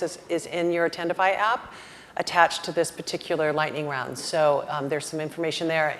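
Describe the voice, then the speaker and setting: A woman's voice: lowish voice, slight background noise. Conversational woman moderator in a reverberant hall. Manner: friendly, conversational, thinking aloud. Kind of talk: conference moderation. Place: large conference hall with audience. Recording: reverberant hall with audience murmur.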